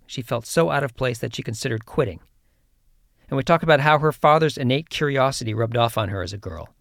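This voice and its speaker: A man hosting a podcast, reading a measured introduction and then asking relaxed questions. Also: mid-range voice, dry recording, studio quality. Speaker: podcast host. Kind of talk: scripted narration then interview.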